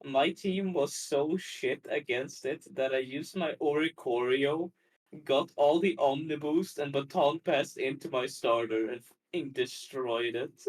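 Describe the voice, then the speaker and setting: Mid-pitched man in casual, sweary gamer chat. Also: a little slow, low-quality recording. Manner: profane, informal.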